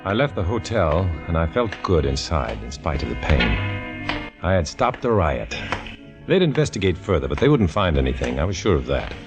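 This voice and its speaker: A hard-boiled undercover agent. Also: male voice, very deep voice, very animated, normal speed, loud music behind the voice, exaggerated voice. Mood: reflective. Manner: hard-boiled first-person narration. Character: tough undercover male agent narrating his story. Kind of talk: 1950s radio drama acting.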